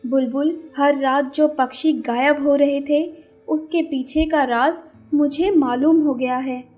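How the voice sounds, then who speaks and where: A brave, caring little cartoon bird, played by a woman, speaks in a high voice. She is slow and deliberate, earnest in every phrase. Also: fairly even intonation, a little mellow, faint music behind. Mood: alarmed, earnest.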